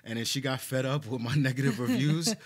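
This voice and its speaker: A man's voice: medium pitch, brisk. Casual, slangy man in an interview. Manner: reflective, slangy, peppers talk with "like" and "right?". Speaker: interview guest, media and marketing executive.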